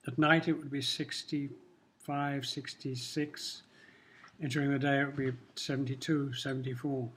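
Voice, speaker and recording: An older man from Kenya whose first language was Swahili, speaking in a measured, reflective way while answering questions in an interview. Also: medium pitch, little pitch movement, a bit bright, breathy edge. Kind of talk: casual interview talk.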